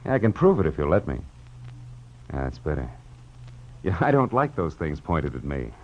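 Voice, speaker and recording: An actor playing a wry, hard-boiled American private detective with clipped, sardonic delivery, in 1940s radio noir style. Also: male voice, rumbling low, very animated, moderate pace, heavily acted. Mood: wry probing. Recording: dull old radio recording.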